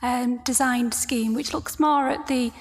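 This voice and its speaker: A high-pitched, croaky woman presents a report to a council meeting, pausing often and restarting her sentences. Her voice is gravelly and husky, and it rings with the long reverb of a large hall. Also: fairly even intonation. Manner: hesitant, many fillers and restarts, explanatory.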